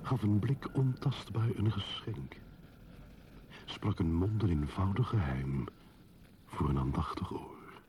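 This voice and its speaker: An actor playing a brooding, solitary man in a poetic radio drama monologue, solemn and often breathy. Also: deep voice, expressive, warm tone, a little hiss or hum. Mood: solemn, bleak. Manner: solemn, measured, often breathy near-whisper. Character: brooding solitary man recalling a dead city.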